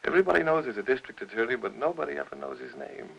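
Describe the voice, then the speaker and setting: An actor playing a paranoid invalid husband in a noir radio drama, coldly accusing his wife in a hushed, husky, taunting voice. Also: mid-range voice, lively intonation, very fast, highs cut off, a little hiss or hum. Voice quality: husky, gravelly. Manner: hushed, insinuating, taunting, often dropping to a whisper. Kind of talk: old-time radio noir acting.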